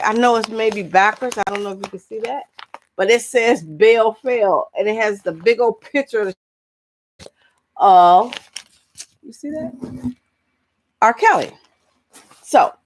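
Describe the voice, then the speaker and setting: A woman hosting a vlog, giving casual, opinionated entertainment commentary in a halting, rambling, blunt way with frequent asides. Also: mid-range voice, dry recording. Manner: blunt, incredulous rhetorical questions. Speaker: vlog host.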